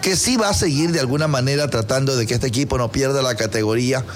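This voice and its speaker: A man's voice: medium pitch, quick pace, soft background music. A man with an Ecuadorian accent hosting casual banter and informal sports talk in a teasing, colloquial way. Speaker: radio show host.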